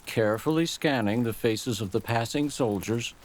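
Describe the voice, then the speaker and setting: A man narrating a scripted story in a deliberate, dramatic storytelling manner. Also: medium pitch. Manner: measured, expressive, deliberate phrasing.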